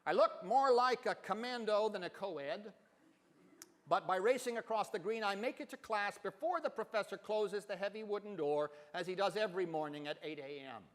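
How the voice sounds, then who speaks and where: A man giving an expressive literary reading on stage, with deliberate, dramatic phrasing and emphatic swells. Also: high voice. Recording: reverberant hall sound.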